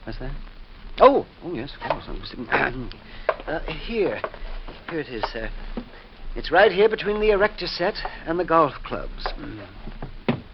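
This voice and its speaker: A man's voice: on the high side, very animated, slow pace, gravelly, pauses often, exaggerated voice. A man acting in an old radio drama as a fussy, eccentric junk collector, effusive, rambling and very polite. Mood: flustered. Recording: dull, noisy vintage radio recording.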